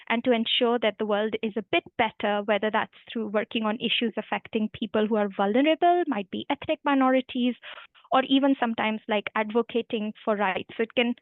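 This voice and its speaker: A woman's voice: on the high side, no room sound. A woman from India with an Indian accent, a human rights lawyer speaking as a guest in an online webinar, friendly and chatty. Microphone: video call. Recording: tinny phone-like video call audio.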